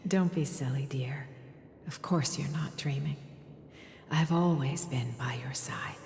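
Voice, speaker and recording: An actress playing a gentle, doting priestess mother in a video game, soothing and measured, with a soft breathy voice. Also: a bass voice, full character voice. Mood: tender, coaxing.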